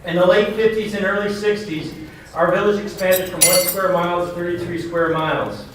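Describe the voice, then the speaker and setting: A man's voice: high voice, fairly even intonation, moderate pace. A man speaking as the host of a community event, giving a formal welcome speech that is partly read from notes. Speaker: event host, foundation chair. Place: large echoing indoor banquet venue. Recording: very echoey hall, distant mic, background noise.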